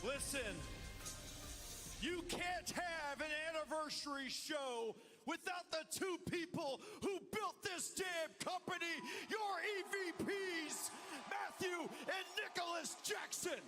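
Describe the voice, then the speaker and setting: Slow, shouting arena hype man. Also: extremely high pitch, over music. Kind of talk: arena announcement.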